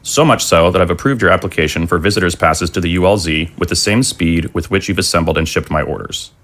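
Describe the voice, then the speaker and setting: A man acting a weary, level-headed middle-aged father in an audio drama, speaking in a measured, plain way. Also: low voice, fairly bright tone. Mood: formal reading.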